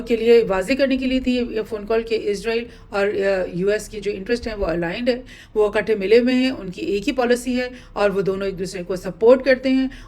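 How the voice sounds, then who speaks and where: A woman gives informal news commentary as a host, explaining and rephrasing her points in a halting way. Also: a little high, a little mellow. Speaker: host presenting news analysis.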